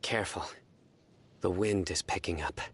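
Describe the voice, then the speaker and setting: An actor playing a stern, calm enforcer of justice in a video game, with measured, deadpan delivery. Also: male voice, low-pitched, breathy edge. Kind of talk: video game character voice lines.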